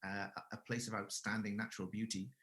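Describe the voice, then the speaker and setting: A man's voice: fairly low pitch, flat delivery, quick pace, fairly bright tone. A man in his forties from Wales, a guest telling his career story casually, with frequent restarts and self-corrections. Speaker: guest, English school owner.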